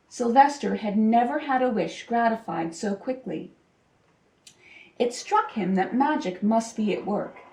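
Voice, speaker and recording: A woman reads a children's picture book aloud expressively, with deliberate, dramatic phrasing and pauses for emphasis. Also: mid-range voice, darkish tone. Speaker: storybook reader. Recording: roomy echo, distant microphone.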